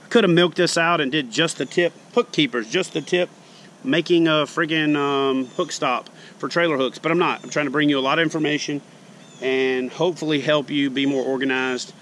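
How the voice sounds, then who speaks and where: A man in his forties, a fishing show host, casually demonstrating tackle tips in a backyard, speaking in a relaxed, folksy way. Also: on the high side, brisk, slight background noise. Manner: relaxed, folksy explaining, plain talk. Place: backyard lawn outdoors. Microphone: camera mounted close on chest.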